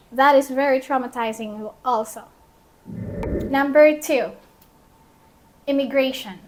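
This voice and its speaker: A woman co-hosting a vlog, explaining a numbered list in a deliberate way. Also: high voice. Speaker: vlog co-host. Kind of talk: presenting a numbered list to camera.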